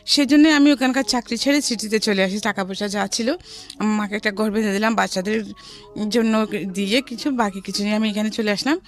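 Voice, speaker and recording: A middle-aged woman with a Bangladeshi accent recounts her own life in an interview. Her pitch is average for a woman, and she drops English words into conversational storytelling. Faint music plays behind her. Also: steady tone, a little fast.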